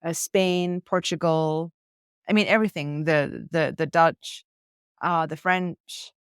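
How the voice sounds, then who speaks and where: A woman from India talks as a podcast guest in a reflective, emphatic way. She often runs points together in lists. Her voice sits fairly low, and it is recorded close and dry, with no room sound. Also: fairly even intonation, slow, clean tone, dry recording. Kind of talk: conversational interview. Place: hostel room.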